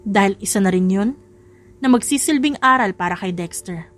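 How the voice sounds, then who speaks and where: A woman's voice: medium pitch, animated, moderate pace. A woman reading a scripted romance story as a dramatic audiobook narrator.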